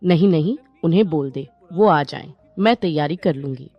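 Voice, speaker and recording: Casting: actress playing earnest, worried mum for animated moral story, lowish voice, soft music underneath. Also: female voice, moderate pace, dry recording, dull audio. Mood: hurried, eager. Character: worried, homely mother seeking a match for her daughter.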